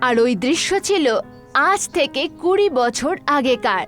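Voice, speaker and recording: A woman's voice: high voice, lively intonation, unhurried, fairly bright tone, soft background music. A woman narrator reading a scripted horror story.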